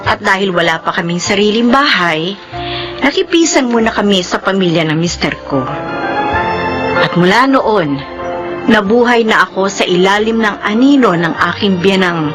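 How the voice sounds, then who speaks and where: A woman's voice: medium pitch, expressive, moderate pace, music in the background, close to an everyday voice. A woman playing a long-suffering, aggrieved middle-aged Filipina wife and mother in a radio drama, plaintive and emotional. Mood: sorrowful narration. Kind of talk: Philippine radio drama acting.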